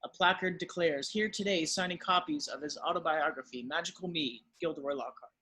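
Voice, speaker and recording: A man with a husky voice reading stage directions as the narrator of a script table read. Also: a little high, brisk, pushed and bright.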